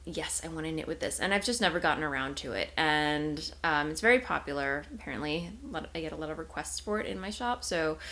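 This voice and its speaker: Young woman podcast host, congested and nasal, low gravelly voice, meandering casual chat. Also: fairly bright tone. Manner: rambling, self-correcting, giggly. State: tired. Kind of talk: casual chatty video podcast.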